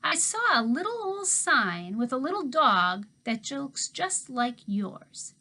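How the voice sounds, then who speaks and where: A woman's voice: high-pitched, big pitch swings, slow pace, sharp tone, pitched above the performer's own voice, heavily acted. A woman voicing an easygoing old drifter man who talks in a plainspoken, drawling country way, in a storybook read-aloud. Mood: folksy.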